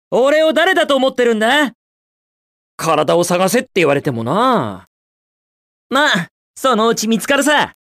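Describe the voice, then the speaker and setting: A man's voice: high-pitched, a bit bright, dry recording, studio quality, exaggerated voice. An actor playing a cocky, carefree young male hedgehog hero in a video game, brash and slangy with a cool manner. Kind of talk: video game voice acting, short in-game remarks.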